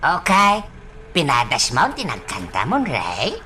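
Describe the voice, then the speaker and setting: A woman playing an excitable, teasing village elder in an Ilocano radio drama, with folksy exclamations and a swooping delivery. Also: extremely low pitch, a little slow, a little hiss or hum, full character voice. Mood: teasing, amazed.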